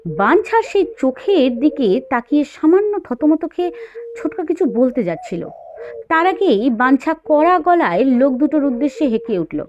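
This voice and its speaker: A woman's voice: high voice, big pitch swings, normal speed, darkish tone. A woman reading a story as a dramatised, scripted narration. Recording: dull, faint noise.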